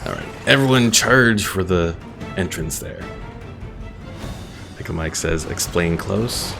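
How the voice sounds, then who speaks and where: A man's voice: sits low, animated, slow, gravelly, a bit bright. A man doing casual, chatty gameplay commentary as a streamer and reading out viewer chat, with game music behind him. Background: video game music.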